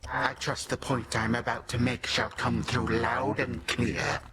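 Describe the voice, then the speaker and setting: An actor playing an ancient god of time in a video game, a sinister, condescending old villain who speaks in pompous, archaic, theatrical phrases and savors each word. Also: male voice, medium pitch, flat delivery, unhurried, background noise, close to an everyday voice. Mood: haughty disdain.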